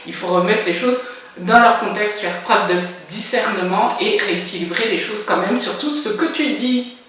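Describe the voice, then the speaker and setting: A woman's voice: mid-range voice, normal speed, fairly bright tone. 40s woman vlogging, lots of ums, blunt, sounds far from the mic in a big echoey room. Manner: hesitant, many filler sounds, frank and blunt. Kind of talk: casual personal monologue.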